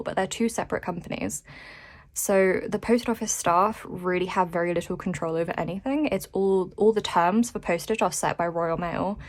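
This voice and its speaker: A British woman with a fairly low voice and plenty of vocal fry gives a casual vlog update. She talks informally and rambles along, with a self-deprecating way of putting things. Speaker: vlogger and small shop owner.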